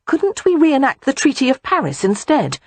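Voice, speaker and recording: A woman's voice: high voice, huge pitch swings, normal speed, no room sound, pitched above the performer's own voice. A woman voicing a spirited, independent young woman in an audiobook, wry and frank. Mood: playful. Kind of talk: audiobook character voice.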